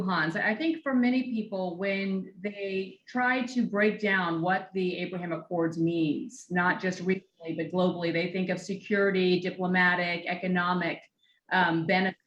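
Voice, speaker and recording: A woman's voice: mid-range voice, roomy sound, highs cut off, a little hiss or hum. A woman hosting and moderating a panel, giving polite, scripted introductions and questions. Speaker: event host and moderator.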